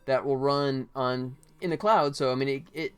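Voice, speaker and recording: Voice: medium pitch, normal speed, clear, resonant tone, faint music behind. A game developer hosting a livestream, chatting casually and jokily in a rambling way while working. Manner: rambling, jokey, acts out mock quotes.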